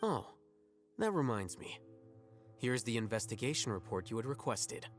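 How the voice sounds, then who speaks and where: Guy voicing an aloof scribe in a game, calm and matter of fact, very formal, bit of music behind. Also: medium pitch, normal speed, close to an everyday voice. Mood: cool, detached. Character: composed male scholar-scribe, cool, aloof, dryly detached. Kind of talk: video game voice acting.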